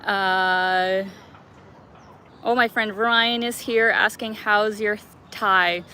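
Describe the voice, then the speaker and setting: Young woman vlogging live outside, crisp bright voice, chatty and giggly, faint traffic hum behind. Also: medium pitch, steady tone, drawn-out words. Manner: chatty, laughs often, greets viewers by name. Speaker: travel vlogger hosting a live stream. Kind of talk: casual live-stream chat to viewers. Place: outdoors on a skywalk in a city park.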